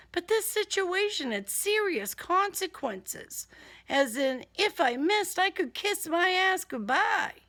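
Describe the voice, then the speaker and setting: A woman narrates a suspenseful first-person thriller. Her voice is fairly high with a heavy creak. She goes slowly, breaking the story into short, deliberate phrases for drama. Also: a bit bright. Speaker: author reading own novel.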